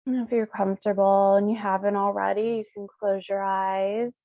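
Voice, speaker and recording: A woman leading a guided meditation as a Reiki practitioner, speaking soothingly in short, unhurried phrases with pauses. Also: medium pitch, flat delivery, no echo, phone-quality sound.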